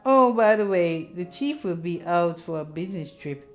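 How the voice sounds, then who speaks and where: A woman's voice: fairly low pitch, expressive, normal speed, lightly acted. Woman doing a cheeky twenties guy from a visual novel, coaxing and teasing like he's looking after his friend, music behind. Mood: breezy. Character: cheeky, caring charmer, man of twenty-seven.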